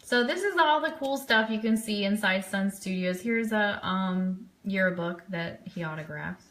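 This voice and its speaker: A woman's voice: medium pitch, animated, measured pace, fairly bright tone. A woman giving casual live commentary on trip photos as host of an online fan show, chatty and enthusiastic.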